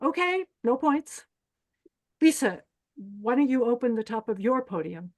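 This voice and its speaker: A woman acts out a bossy female pageant host with a somewhat high voice. She is brisk and commanding, with menace under the orders, as read aloud in a script table read. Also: lively intonation. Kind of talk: screenplay table read acting.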